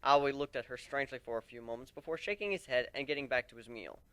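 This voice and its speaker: A man narrating a dramatic first-person story in an expressive, conversational way. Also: medium pitch, fast, clean tone, no room sound.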